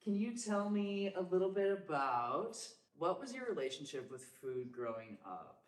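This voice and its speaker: A woman hosting a food podcast, upbeat and chatty, full of quick asides. Her voice bounces around an echoey room. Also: on the low side, very animated. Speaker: podcast host. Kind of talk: casual interview chat. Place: Los Angeles.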